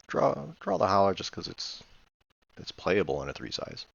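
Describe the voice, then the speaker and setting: Low, gravelly man commenting on a game. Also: sing-song, moderate pace, no room sound, muffled recording. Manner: thinking aloud, hesitant, matter-of-fact. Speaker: board game player reviewing own replay. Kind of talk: casual game replay commentary.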